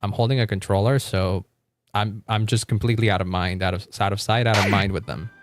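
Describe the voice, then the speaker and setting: A man's voice: lowish voice, fairly even intonation, a little fast, noisy background. A man livestreaming a game, chatting casually with viewers in a rambling, informal way with some mild swearing.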